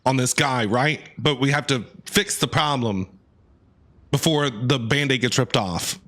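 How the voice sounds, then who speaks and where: A man's voice: medium pitch, measured pace, fairly bright tone. A man doing casual live reaction commentary as a game streamer, chatty and inquisitive, often repeating himself. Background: faint game music.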